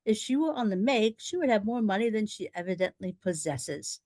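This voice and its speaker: A woman playing a shifty gentleman fixer with formal, ingratiating politeness in a radio play. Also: mid-range voice, expressive, a little fast, dry recording, studio quality, natural voice. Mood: scheming.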